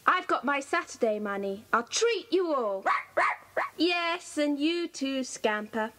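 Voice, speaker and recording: A kindly, enthusiastic British schoolchild for a children's audio drama. The voice is very high. The delivery is earnest and chirpy. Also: female voice, expressive, slow, a bit bright, heavily acted. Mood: cheerful.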